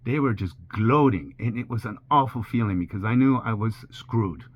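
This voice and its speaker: A man's voice: fairly low pitch, moderate pace. A man in his sixties whose first language is French, candidly recounting his own ordeal as a sailing vlogger. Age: 60s. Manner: plain, candid retelling with steady pacing. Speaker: sailor vlogger. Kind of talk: personal storytelling narration. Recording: slightly dull with faint hiss.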